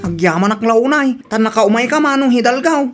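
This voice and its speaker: A woman's voice: a little high, lively intonation, brisk, soft background music, lightly acted. A woman acting a hospitable, exasperated husband in an Ilocano-accented radio drama. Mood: welcoming.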